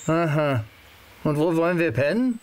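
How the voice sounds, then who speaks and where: A man's voice: fairly high pitch, expressive, moderate pace, darkish tone, close to an everyday voice. An actor playing a hungry, cheeky adventurous boy with a teasing, defensive manner in an audio drama. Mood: curious.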